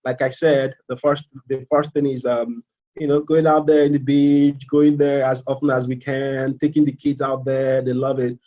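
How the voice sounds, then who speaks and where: A man in his thirties from Nigeria with a Nigerian accent, a student giving a casual live presentation, halting with fillers and repeats. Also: medium pitch, little pitch movement, mellow, highs cut off. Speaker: MBA student.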